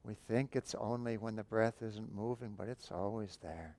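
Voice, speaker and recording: A man guiding a breathing meditation, giving calm, unhurried instructions with long pauses between phrases. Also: fairly low pitch. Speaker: meditation teacher.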